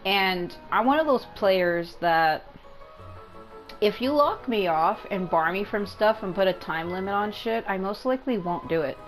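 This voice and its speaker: A woman's voice: medium pitch, expressive, unhurried, soft background music. A woman giving casual gameplay commentary as a video game streamer, rambling and repeating herself for emphasis.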